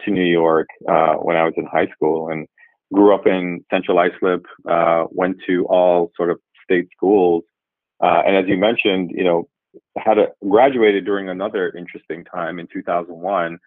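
A man in his forties from Jamaica, a guest speaking in a measured, thoughtful way and often pausing to rephrase. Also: low-pitched, level tone, no room sound. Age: 40s. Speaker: guest, revenue executive. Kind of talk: reflective interview answers. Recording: tinny phone-like line, dry.

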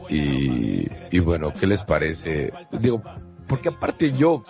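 A man's voice: very deep voice, wildly swooping pitch, takes it slowly, vocal fry, darkish tone, soft background music. A man with a northern Mexican accent co-hosting a casual radio chat in a playful, teasing, rambling way. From Monterrey, Mexico. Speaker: radio show co-host.

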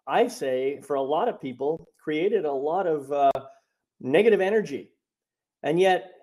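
A man's voice: fairly high pitch, a little mellow. A man hosting a spiritual podcast, talking over a remote call in a rambling way with many fillers and restarts. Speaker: podcast host and coach. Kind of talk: casual spiritual podcast chat.

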